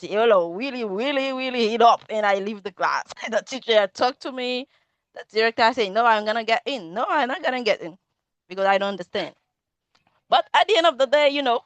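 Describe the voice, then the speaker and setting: A woman's voice: medium pitch, fairly bright tone, clean tone, no echo. A woman in her thirties from Haiti, a Haitian Creole speaker with a Haitian accent, giving a rambling answer with frequent laughs and fillers during a video-call conversation class. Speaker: student participant. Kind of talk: casual conversation club answer.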